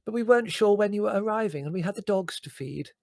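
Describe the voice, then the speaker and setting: A man's voice: high voice, lively intonation, quick pace, creaky, a little mellow, no room sound, studio quality, voice pushed up. A man voicing a coy, fussy, earnest woman cousin in a storybook, emphatic and confiding. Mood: gushing, earnest. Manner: gushing, confiding, emphatic, easily exasperated.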